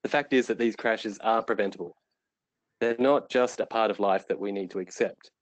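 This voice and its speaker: A man presenting a webinar, explaining his subject in an earnest, measured way over a remote call microphone. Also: on the low side, dry recording, rough recording.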